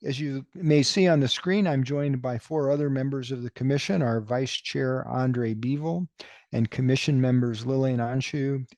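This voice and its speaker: A man chairing a public commission hearing, reading procedural statements in a measured, deliberate way. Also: medium pitch, a little mellow, no room sound. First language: not Romanian. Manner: measured, deliberate official delivery.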